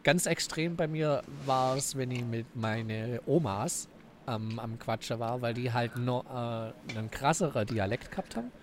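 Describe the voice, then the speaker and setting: A man from Swabia with a Swabian accent, a podcast guest telling stories in relaxed casual chat. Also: medium pitch, measured pace, slight background noise. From Swabia, Germany.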